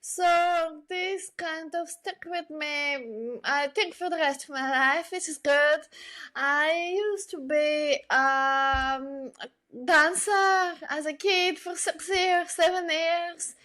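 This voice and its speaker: Very high-pitched woman, slow and chatty. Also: bright, forceful tone. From Bulgaria. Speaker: podcast guest, illustrator and digital artist. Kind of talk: casual interview chat.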